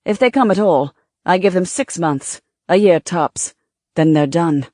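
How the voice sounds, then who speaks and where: A woman's voice: lowish voice, a little slow, no echo, studio quality. Woman voicing a dismissive male comedy star. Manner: holding forth, flatly dismissive.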